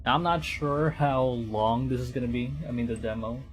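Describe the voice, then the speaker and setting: A man's voice: mid-range voice, moderate pace, slight background noise. A man from the Philippines hosting a livestream in casual chat, speaking hesitantly and often repeating and rephrasing his phrases. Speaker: VTuber livestream host. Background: music.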